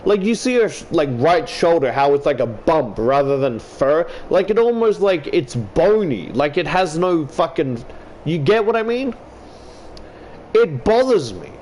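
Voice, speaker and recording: A man giving casual, rambling game commentary, halting and swearing freely as he talks. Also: on the high side. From Australia. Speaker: YouTuber playing and reading a visual novel. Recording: clear steady air-conditioner background noise.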